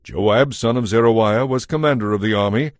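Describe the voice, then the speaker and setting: A fairly low-voiced man reads scripture as a narrator. His delivery is measured and solemn, in a scripted audiobook style. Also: no room sound, clean studio sound.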